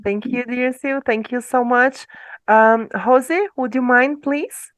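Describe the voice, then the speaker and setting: A woman's voice: fairly high pitch, slow pace, no echo. Upbeat woman teacher hosting a call. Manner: upbeat, welcoming, calls on each participant by name. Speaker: English teacher hosting a speaking club. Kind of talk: friendly hosting on a video call.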